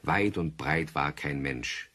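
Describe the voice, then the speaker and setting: A man narrating a German children's radio play as a confiding storyteller, with a husky voice and scripted delivery. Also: extremely low pitch, slow pace, bright, forceful tone, a little hiss or hum, heavily acted. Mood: storytelling.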